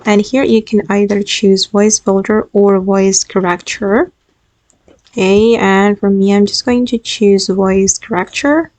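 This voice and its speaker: A woman hosting a software tutorial, explaining each step as she narrates. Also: medium pitch, fairly even intonation, unhurried, no echo. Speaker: tutorial host.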